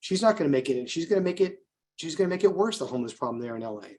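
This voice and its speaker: A man's voice: fairly high pitch, lively intonation, rapid-fire, a little mellow. An American man co-hosting a conversational political discussion, telling anecdotes, posing rhetorical questions and acting out bits of dialogue. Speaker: co-host, political activist.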